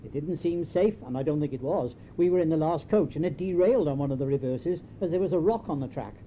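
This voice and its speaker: An older British man reading his own written memoir in a measured, wry, understated storytelling manner. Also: fairly high pitch. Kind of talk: scripted memoir narration. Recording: dull lo-fi home tape, faint noise.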